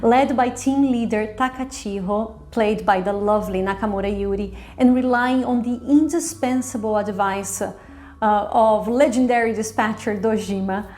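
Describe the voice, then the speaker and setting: High-voiced woman giving an articulate review. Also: moderate pace, darkish tone. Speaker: drama reviewer hosting own review show. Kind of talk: semi-scripted review commentary.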